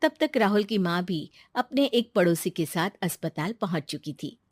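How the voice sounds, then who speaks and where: A woman's voice: on the low side, expressive, normal speed, no echo, studio quality. A woman reading a scripted story as its narrator.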